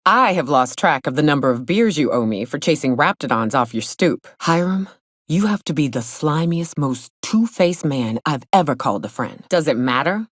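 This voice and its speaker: An actress playing a gruff, hard-drinking female monster hunter in a video game, sardonic and blunt, with a loose, earthy drawl. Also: low voice, animated, normal speed, dry recording, clean studio sound. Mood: exasperated. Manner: sardonic, blunt, swaggering, colloquial swearing. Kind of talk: video game character dialogue. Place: close, dry studio recording.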